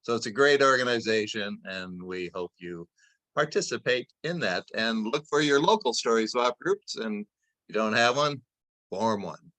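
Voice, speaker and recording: A man speaks as an event host in a bright voice with very little room sound. His delivery is informal and rambling, with halting phrases, as he makes introductions over a video call. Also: fairly low pitch, animated, dry recording. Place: indoors on a Zoom video call. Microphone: video call microphone.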